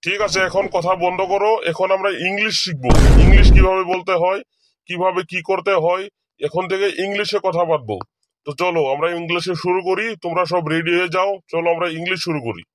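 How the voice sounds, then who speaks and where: A man's voice: sits high, fairly even intonation, fairly quick, no echo, natural voice. A man playing a stern, exasperated village schoolmaster in a comedy cartoon, with a scolding, hectoring manner. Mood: lecturing. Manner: scolding, hectoring, mixing English words into Bengali.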